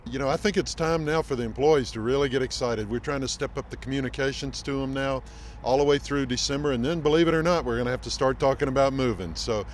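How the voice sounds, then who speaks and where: A man's voice: mid-range voice, fast. An older man speaking informally as a project manager, interviewed on an outdoor construction site with a handheld mic. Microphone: handheld interview microphone. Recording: faint outdoor vehicle noise.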